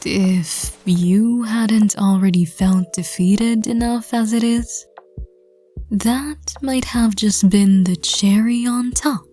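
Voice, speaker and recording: Very slow, breathy woman narrator. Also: mid-range voice, soft background music. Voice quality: soft, breathy, whispery. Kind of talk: scripted audio roleplay narration.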